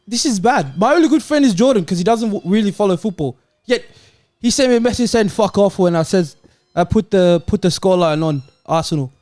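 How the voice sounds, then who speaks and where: High-pitched man, plaintive podcast monologue. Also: animated, a little fast, hardly any pauses, darkish tone, no echo. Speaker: podcast co-host. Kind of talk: comic mock-sad monologue to friends.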